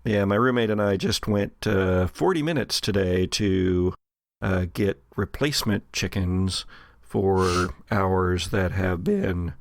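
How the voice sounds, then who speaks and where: Slow, low-voiced man with gravelly creak. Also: no echo, clean studio sound. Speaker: host and game master of an actual play podcast. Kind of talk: tabletop game narration mixed with casual chat.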